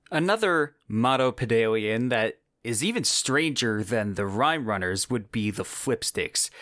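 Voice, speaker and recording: A man hosting a podcast, explaining things in a measured, descriptive way into a close microphone. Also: medium pitch, a bit bright, dry recording. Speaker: podcast host. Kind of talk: explanatory science fiction podcast narration.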